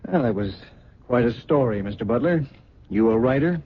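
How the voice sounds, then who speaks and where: On a muffled old radio recording, a probing male investigator. His voice is husky and medium in pitch, dryly skeptical in a radio drama style. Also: animated, normal speed. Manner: dry, skeptical questioning.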